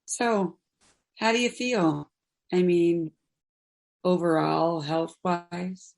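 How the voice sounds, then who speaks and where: Gentle, slow-spoken woman in her fifties played by an actress. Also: lowish voice, fairly even intonation, gravelly, exaggerated voice. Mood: matter-of-fact, caring. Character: Kathy, gentle, supportive woman in her fifties, reassuring friend. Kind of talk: play reading, naturalistic dialogue.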